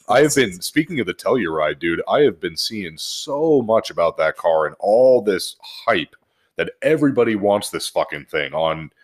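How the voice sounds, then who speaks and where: A man with a deep voice and lively intonation co-hosts a casual podcast. He tells crude anecdotes in slangy, joking language and swears freely. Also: moderate pace. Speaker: podcast co-host.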